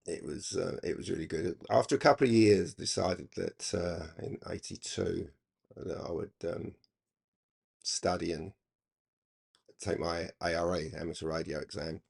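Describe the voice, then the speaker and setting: Older guy, really low raspy creaky voice, rambling on about old times, stop and start. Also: steady tone, darkish tone. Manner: halting, rambling, reflective. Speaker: hobby radio channel presenter. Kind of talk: casual reminiscing monologue.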